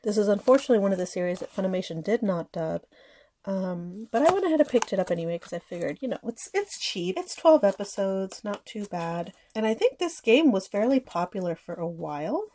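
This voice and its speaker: A woman's voice: mid-range voice, lively intonation, a little fast, dark tone, slight background noise. A woman in her thirties, sick and a bit nasal, chatting casually about her collection with frequent fillers and small laughs. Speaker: anime collector vlogger. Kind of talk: casual haul chat.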